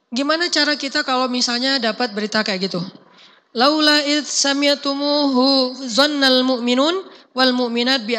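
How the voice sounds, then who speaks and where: Bright-voiced preacher in a reverberant hall. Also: male voice, extremely high pitch. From Indonesia. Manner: conversational and emphatic, chants verses slowly. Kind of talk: casual sermon.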